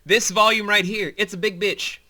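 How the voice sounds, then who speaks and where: A man's voice: high-pitched, steady tone, normal speed, sharp tone. A man giving casual behind-the-scenes commentary as creator and host, informal and emphatic, with some swearing.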